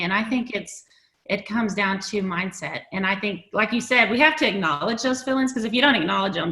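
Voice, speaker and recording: A woman's voice: mid-range voice, pushed and bright, roomy sound. A woman hosting a talk show, asking long framing questions in a casual way. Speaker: show host. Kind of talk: casual interview chat.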